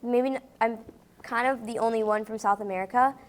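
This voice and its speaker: High-pitched opinionated young girl from Brazil. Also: steady tone, brisk. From Rio de Janeiro, Brazil. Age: child or young teen. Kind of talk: casual chat.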